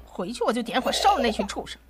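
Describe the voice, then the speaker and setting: A woman's voice: on the high side, big pitch swings, fast talker, heavy background noise. A woman playing a vindictive, quarrelsome mother in an audio drama, shrewish and indignant. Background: loud music.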